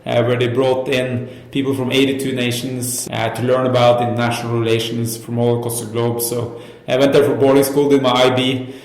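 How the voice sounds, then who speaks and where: Echoey-room man from Norway, enthusiastic interviewee. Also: medium pitch. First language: Norwegian. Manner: enthusiastic wording, frequent you-knows. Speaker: interview guest, startup founder. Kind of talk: conversational career storytelling. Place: indoor co-working office. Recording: roomy, echoey indoor sound.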